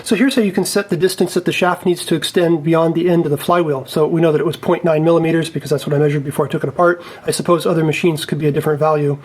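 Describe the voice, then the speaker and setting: A man's voice: a little high, steady tone, very fast, few pauses, a little mellow, slightly rough. A man giving a casual, step-by-step repair demonstration in a methodical, careful, explanatory way. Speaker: repair technician presenting a tutorial.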